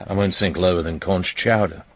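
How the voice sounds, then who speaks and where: Casting: smooth-mannered male spy, husky low voice, probing and flirtatious, for radio drama. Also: steady tone, normal speed. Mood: dry, flirtatious. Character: suave, probing British secret agent.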